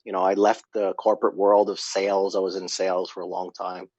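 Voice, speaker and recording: A man guest on a casual podcast, telling stories in an animated way and acting out what people said. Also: deep voice, fairly quick, no echo, muffled recording. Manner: animated storyteller, acts out quotes. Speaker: guest, company founder.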